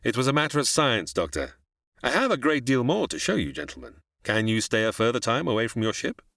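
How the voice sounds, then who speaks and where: A man's voice: mid-range voice, big pitch swings, a little fast, gravelly, fairly bright tone, no echo, studio quality. A man playing a proud, learned older scientist who lectures formally and grandly in a radio sci-fi drama. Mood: solemn. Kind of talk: old-time radio sci-fi drama acting.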